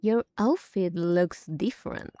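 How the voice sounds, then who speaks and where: Casting: kind young female game adventurer, soft dark tone, slow pace, close dry sound. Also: lowish voice, no echo. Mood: concerned. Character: kind, friendly young traveler, earnest and supportive. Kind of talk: video game character acting.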